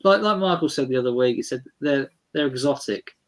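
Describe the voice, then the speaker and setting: A man with a British accent in a relaxed, informal conversation about collecting records. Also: medium pitch, brisk. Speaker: record collector on a chat show. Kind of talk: casual chat about vinyl records.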